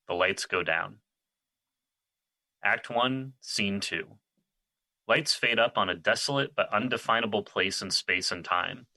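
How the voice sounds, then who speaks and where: Male narrator for scripted drama: low register, forceful tone, close dry sound. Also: no echo, rough recording. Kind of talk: scripted stage-direction narration.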